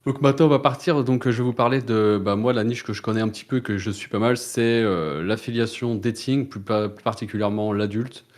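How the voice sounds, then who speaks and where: French man, low, measured voice. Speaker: podcast co-host. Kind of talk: casual podcast discussion.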